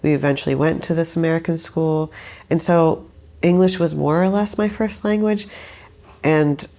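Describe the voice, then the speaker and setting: Low, gravelly woman talking hesitantly. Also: fairly even intonation, moderate pace, mellow. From Saudi Arabia. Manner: rambling, hesitant, many fillers and restarts. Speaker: guest, musician. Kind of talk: casual interview conversation.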